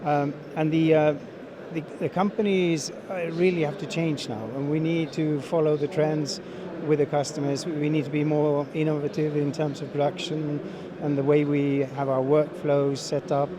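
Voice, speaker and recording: A man's voice: a little high, flat delivery, moderate pace, hardly any pauses. Middle-aged man over busy crowd noise. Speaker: sales manager being interviewed at a trade show. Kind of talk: unscripted interview answers. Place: busy exhibition hall.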